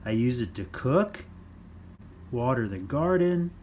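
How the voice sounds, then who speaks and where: A man reading a children's nonfiction book aloud in simple, deliberate phrases for young listeners. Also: mid-range voice, very animated, a little mellow, a little hiss or hum. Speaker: children's storytime reader.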